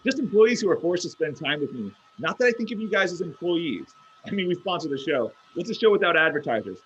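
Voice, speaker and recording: A man's voice: on the high side, very animated, fast, slight background noise. A man playing an oblivious, self-absorbed boss, needy and boastful, in a comedy table read, blurting and justifying himself. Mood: oblivious, blunt. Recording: dull lo-fi online call.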